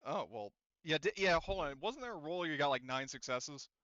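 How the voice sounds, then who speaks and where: A man's voice: a little high, animated, fairly quick, fairly bright tone, no echo, lo-fi sound. A man acting as game master, casually narrating and bantering with players in a rambling, colloquial way.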